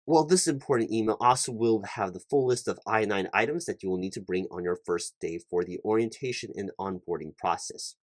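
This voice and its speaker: Casting: man as officious corporate hiring manager for ASMR roleplay, lowish voice, wordy and pedantic, close dry sound. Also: brisk, few pauses, no room sound, close to an everyday voice. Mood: officious, rambling. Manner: rambling, repetitive, pedantic, stumbling over long lists. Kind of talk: one-sided job interview roleplay ASMR.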